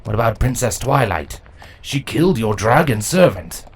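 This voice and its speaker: A man's voice: mid-range voice, big pitch swings, normal speed, husky. A man voicing a dutiful, anxious changeling drone captain who speaks deferentially in a fantasy story reading. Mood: cautious, questioning. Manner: deferential, hesitant, nervous. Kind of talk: dramatic fan fiction audio reading.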